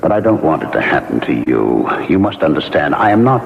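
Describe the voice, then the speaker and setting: An actor playing an earnest, conscience-troubled male ghost in a classic radio drama, with formal, measured, solemn diction. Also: rumbling low, very animated, gravelly, full character voice. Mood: grave, pleading. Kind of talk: classic radio drama acting, part narration. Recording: lo-fi radio, noisy, long echoing reverb.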